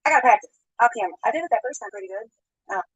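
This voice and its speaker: High-voiced rambling woman streamer. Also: expressive, very fast, breathy edge, no room sound, poor audio. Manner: rambling, greets viewers, laughs often. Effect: sped-altered playback. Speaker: livestreamer. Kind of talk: casual live-stream chat.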